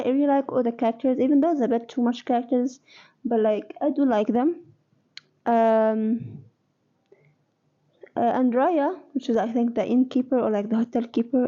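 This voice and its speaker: Young woman rambling about a book, kinda high voice, mellow tone, keeps correcting herself. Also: muffled recording. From Egypt. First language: Arabic. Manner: rambling, chatty, self-correcting. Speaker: book vlogger. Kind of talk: casual reading vlog chat.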